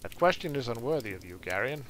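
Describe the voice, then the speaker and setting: Sly little thief, dry mocking tone, man voicing, mid pitch, faint noise behind. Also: very animated, fast talker, close to an everyday voice. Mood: wry.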